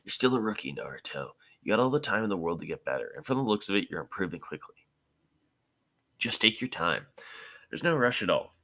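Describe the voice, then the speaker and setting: Kindly ninja teacher read by a man, husky. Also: sits low, big pitch swings, brisk, fairly bright tone, full character voice. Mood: encouraging. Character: kindly, encouraging adult male ninja teacher.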